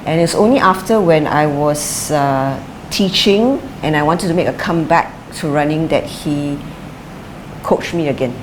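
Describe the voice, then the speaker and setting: A middle-aged woman answering interview questions thoughtfully, pausing with fillers and restarting her sentences. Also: low-pitched. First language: English is her first language; Chinese is her second. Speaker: interviewee, former sprinter and coach. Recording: light background noise.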